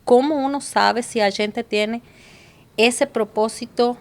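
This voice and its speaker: A woman's voice: on the high side, slow. A woman from Cuba with a Cuban Spanish accent, a guest on a casual podcast, speaking Portuguese thoughtfully and slipping into Spanish words. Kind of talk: casual chat.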